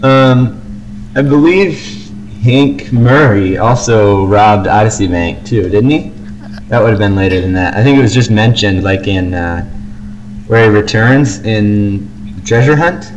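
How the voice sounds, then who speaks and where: A man's voice: on the low side, unhurried, highs cut off. A man co-hosting a podcast, talking casually as he discusses and gives his opinions. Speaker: podcast co-host. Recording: faint background noise.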